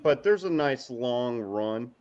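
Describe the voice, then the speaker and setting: Male, unhurried and easygoing, playful livestream chatter, muffled low-fidelity sound. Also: expressive, darkish tone. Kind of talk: casual livestream chat. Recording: dull lo-fi livestream audio.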